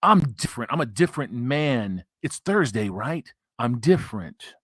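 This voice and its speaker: A man's voice: mid-range voice, sing-song, moderate pace, a bit bright, no room sound, studio quality. A man mimicking a smug, two-faced man who insists he has changed. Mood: mocking. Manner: sneering mockery.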